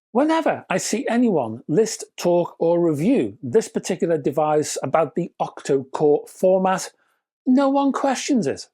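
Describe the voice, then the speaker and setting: A man's voice: fairly high pitch, a little mellow. A British man narrating a scripted product review with chatty, wry asides and emphatic phrasing.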